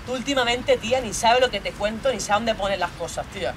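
A woman's voice: fairly high pitch, brisk, slight background noise, close to an everyday voice. A woman voicing a jealous, bullying young boyfriend from a stage monologue, with a Spain accent, domineering and badgering with plenty of swearing. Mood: irritated, contemptuous. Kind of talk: theatrical monologue role-play.

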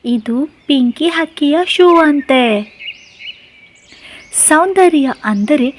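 Female narrator for a kids' moral tale: unhurried, fairly high-pitched, scripted storytelling. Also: slight background noise.

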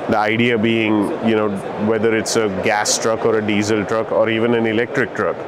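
A man's voice: lowish voice, little pitch movement, fairly quick. A man in his forties giving a promotional interview as a technology executive, on a lapel mic. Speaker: chief technology officer. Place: indoor trade show booth. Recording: clear trade show background noise.

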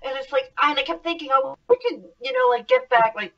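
A woman's voice: high voice, a little fast, fairly bright tone, a little hiss or hum. A woman guest with a Harlem New York accent, effusive and giggly in conversation. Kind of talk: casual chat. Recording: distant dull lo-fi audio.

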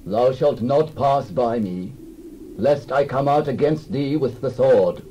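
A man voicing a hostile king who refuses passage in a slow, curt, threatening way. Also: medium pitch, dark tone, highs cut off, slight background noise, lightly acted.

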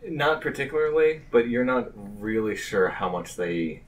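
A man narrating a tabletop game as game master, with halting, deliberate phrasing. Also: mid-range voice, animated, recorded from a distance, rough recording.

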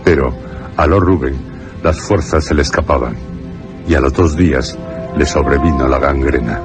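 A man's voice: rumbling low, moderate pace, music in the background. A man with an accent from Spain narrating a scripted radio drama.

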